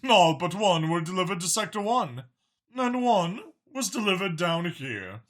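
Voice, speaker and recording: A man's voice: high-pitched, big pitch swings, a little slow. A man voicing a calculating, mild-mannered dragon spymaster in an audiobook, articulate, formal and matter-of-fact about killing. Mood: assured, animated. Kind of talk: audiobook character dialogue.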